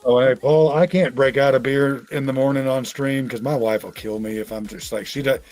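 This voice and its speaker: A man's voice: medium pitch, fairly even intonation, normal speed, a little mellow. A man in his forties hosting a live trading stream, chatting casually and telling rambling, joking stories.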